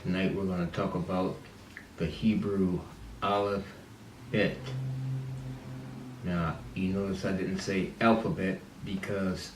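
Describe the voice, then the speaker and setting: A man hosting a religious teaching series, explaining in a deliberate way with short, halting phrases. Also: low voice. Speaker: host and teacher of a Bible and Hebrew series. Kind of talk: religious lesson on the Hebrew alphabet. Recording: roomy, distant mic with faint noise.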